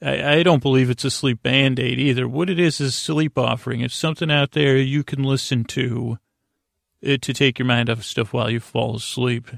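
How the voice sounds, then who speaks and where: A man with a creaky voice hosts a sleep podcast, rambling soothingly and a little goofily, stammering and wandering off into tangents. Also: medium pitch, steady tone, dry recording, studio quality. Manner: meandering, halting, stammering, trailing off into tangents; soothing, lulling, goofy. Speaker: podcast host. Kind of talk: rambling bedtime monologue to help listeners sleep.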